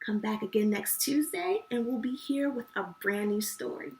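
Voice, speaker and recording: A woman's voice: a little high, animated, normal speed, gravelly, a little mellow. Lady kids show host, super swoopy voice, gushing n encouraging, echoey room a bit off the mic. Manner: effusive, encouraging. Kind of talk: chatty address to children. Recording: echoey room, distant microphone.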